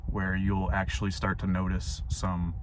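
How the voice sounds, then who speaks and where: A young man with a hoarse, fading voice, talking casually and reflectively to camera from his parked car with plenty of fillers. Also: low voice, completely flat delivery, a little slow, a little hiss or hum. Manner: rambling, reflective, many fillers. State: hoarse, voice going out. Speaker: vlogger.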